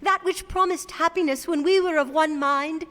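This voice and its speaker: A young fiancee in a radio drama, sorrowful yet dignified. Her high voice is earnest and formal. A long reverb hangs behind it. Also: normal speed, a bit bright. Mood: sorrowful, resolute.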